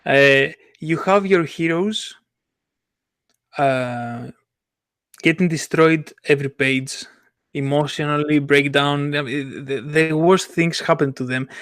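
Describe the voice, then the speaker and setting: An eager man with chats about stories in a fairly high voice. He stumbles and restarts often as his ideas race ahead. The recording is dry and close. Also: fairly bright tone, no echo. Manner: enthusiastic, halting, many restarts and laughs. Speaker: comic artist. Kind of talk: casual podcast chat.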